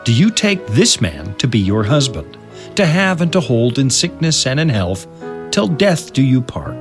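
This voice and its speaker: A man playing a solemn, kindly wedding officiant with a measured, ceremonial manner in a children's audio drama. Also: mid-range voice, very animated, faint music behind.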